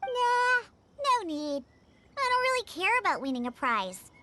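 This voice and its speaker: A woman's voice: extremely high pitch, big pitch swings, measured pace, very noisy, heavily acted. A woman voicing a young, blunt, aloof girl in a video game, speaking matter-of-factly. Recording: music behind the voice.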